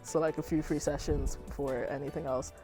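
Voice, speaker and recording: A young Irish woman, an athlete, chatting casually about her running, full of "like" and lively retellings. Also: low voice, brisk, faint music behind. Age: young adult. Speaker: athlete interviewee. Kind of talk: casual interview answers.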